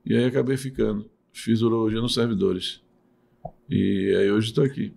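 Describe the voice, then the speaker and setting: A middle-aged man with a low, gravelly voice. He explains things patiently like a teacher and often restarts his phrases as he talks through them in an interview. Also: normal speed, a little mellow. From Salvador, Bahia, Brazil. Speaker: urologist and professor.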